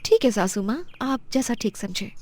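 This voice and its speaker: A woman's voice: a little high, very animated, moderate pace, a little mellow. A woman voicing a dutiful, brave young daughter-in-law in a melodramatic cartoon, earnest and emphatic.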